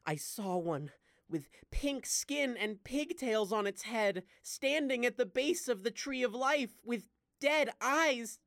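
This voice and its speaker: A horror-struck little candy boy played by a woman in an audio drama. The voice is fairly high and dry, speaking slowly and haltingly with earnest dread. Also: expressive, gravelly, fairly bright tone, no room sound, exaggerated voice. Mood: dread-filled.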